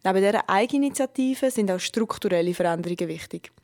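A female radio reporter, reading a scripted news feature in a measured, even way. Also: mid-range voice.

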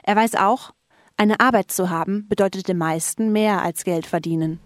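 A woman reporter reads a radio news feature at a medium pitch. Her delivery is clear and even. The recording is dry and close. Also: normal speed, dry recording. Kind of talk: scripted news narration.

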